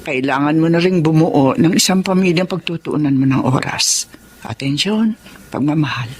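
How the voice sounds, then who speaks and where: A woman's voice: low voice, expressive, moderate pace, darkish tone, a little hiss or hum. An actress playing a frank but tender older mother in a Filipino radio drama. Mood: apologetic, tender.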